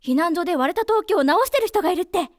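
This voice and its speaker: A woman playing a supportive, hopeful teenage girl in a radio drama, gentle, girlish and expressive. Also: extremely high pitch, quick pace, dry recording, clean studio sound. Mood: encouraging.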